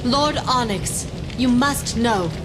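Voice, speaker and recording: A woman's voice: a little high, takes it slowly, noisy background. A woman voicing a weakening, deferential fire creature pleading urgently in a video game. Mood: worried, pleading. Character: weakening, pleading female fire Vulcan subject. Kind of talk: dramatic video game acting.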